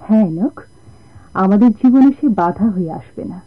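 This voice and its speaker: A woman's voice: mid-range voice, normal speed, close to an everyday voice. An actress playing a tender, anxious young woman in love with a sailor, emotive in radio drama style. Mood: reassuring. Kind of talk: Bengali radio drama acting. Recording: dull, lo-fi old radio recording.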